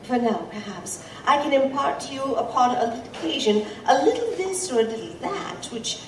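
A woman playing an ancient, wise, secretive being with formal, measured, archaic wording and a wry temper. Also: sits high, sing-song, distant mic. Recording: echoey, off-mic, ambient noise behind.

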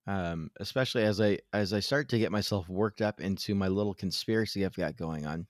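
A man's voice: deep voice, a little fast, dry recording, studio quality. A man co-hosting a podcast in casual chat, playful and stammering through mock-conspiratorial theories. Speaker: podcast co-host.

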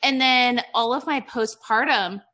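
Bright-voiced woman from Ohio. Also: fairly high pitch. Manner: candid, laughs easily, quick asides. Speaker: podcast host. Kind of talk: casual chat.

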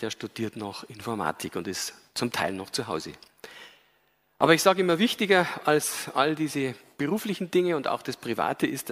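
A man's voice: mid-range voice, a bit bright. A man in his fifties with a Bavarian accent with an Austrian tinge, giving an earnest, explanatory public lecture with frequent hesitation sounds. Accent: Bavarian with a slight Austrian tinge. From Bavaria, Germany. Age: 50s. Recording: long reverb of a lecture hall.

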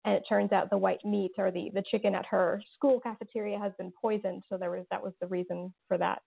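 A woman's voice: medium pitch, steady tone, a little fast, vocal fry, breathy edge. A woman, a horror writer and interview guest, answering thoughtfully with lots of fillers and restarts. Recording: tinny phone-like line.

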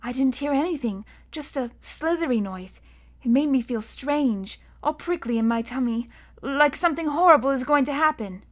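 A woman's voice: sits high, moderate pace, warm tone. A woman voicing a small, outspoken, stubborn girl in a storybook, childlike and emphatic. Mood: uneasy.

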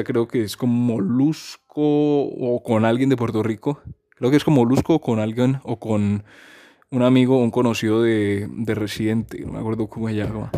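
A man's voice: mid-range voice, warm tone. A man, talking casually and at length about music, rambling with many restarts and fillers. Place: home during a power cut.

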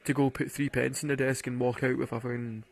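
A man's voice: medium pitch, little pitch movement, a little fast, vocal fry. A man with a Glaswegian Scottish accent, rambling and swearing through casual stories and laughing at his own jokes. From Glasgow, Scotland. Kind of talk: casual storytelling monologue.

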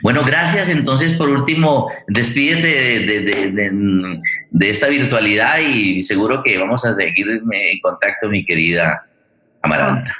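Male Colombian host, low-pitched, roomy sound, spontaneous and halting delivery. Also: pushed and bright. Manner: halting, repeats and rephrases words. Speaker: host of an online radio theatre forum. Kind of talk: spontaneous closing remarks and thanks.

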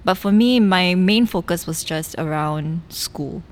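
A woman's voice: lowish voice, measured pace. A woman, talking candidly in a casual podcast chat and laughing often. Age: mid 20s. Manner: candid and laughing, with many restarts and "like". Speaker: podcast co-host.

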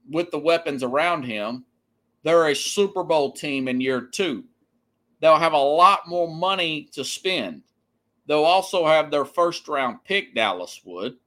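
A man's voice: fairly high pitch, a bit bright. A man hosting a sports podcast, giving an opinionated, conversational monologue and repeating phrases for emphasis.

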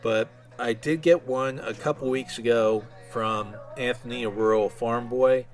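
A man's voice: mid-range voice, steady tone, a little slow, soft background music. A man hosting a fan podcast solo, chatting in an informal, conversational way. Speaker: podcast host.

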